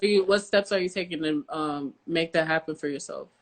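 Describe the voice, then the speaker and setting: A woman's voice: low-pitched, brisk. Young woman casually hosting an interview. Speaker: podcast host, interviewer.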